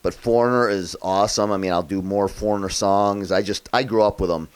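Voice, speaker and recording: A man from upstate New York giving a relaxed, chatty piano lesson, thinking out loud as he plays by ear. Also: fairly low pitch, brisk, clear, resonant tone. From Rochester area, New York, USA. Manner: relaxed and chatty, thinks aloud while playing. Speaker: piano tutor. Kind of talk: casual play-by-ear piano tutorial. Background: loud piano playing.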